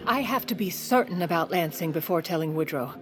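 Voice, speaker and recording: A woman playing a sharp, scheming First Lady, confiding wryly in a comedic audio drama. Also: fairly low pitch, lively intonation, fairly quick, close to an everyday voice. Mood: insistent. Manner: conspiratorial, wry storytelling.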